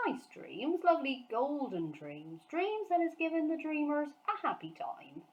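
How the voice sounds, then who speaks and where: A woman voicing a huge, gentle, emotional male giant from a storybook, who talks in muddled grammar and made-up words. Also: high-pitched, very animated, a little slow, highs cut off, pitched above the performer's own voice. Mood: earnest, proud.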